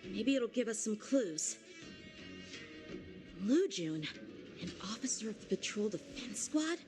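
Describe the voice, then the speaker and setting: An actress playing an earnest young girl adventurer, quick to alarm, in a video game, with expressive, exclamatory delivery. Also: medium pitch, over music. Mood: puzzled.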